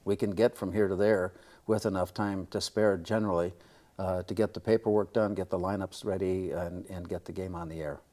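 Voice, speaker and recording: An older man with a Midwestern American accent, talking modestly and in wordy, measured phrases as an interview guest. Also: low voice, steady tone, dark tone. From small-town upper Midwest, United States. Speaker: radio play-by-play broadcaster, interview guest. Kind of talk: casual interview chat.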